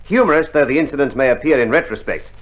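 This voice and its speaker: A man's voice: medium pitch, expressive. Formal male radio narrator, muffled old recording. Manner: formal, measured. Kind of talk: scripted documentary-drama narration, 1960s radio. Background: faint music.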